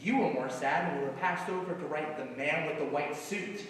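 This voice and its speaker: An actor playing a weary, self-doubting comedy writer in a stage play, speaking in halting, emphatic bursts with old-time slang. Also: male voice, on the high side, fairly bright tone, natural voice. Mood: amused reminiscing. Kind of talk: one-act stage play dialogue. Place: large reverberant hall, far from microphone. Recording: distant, echoey hall with background noise.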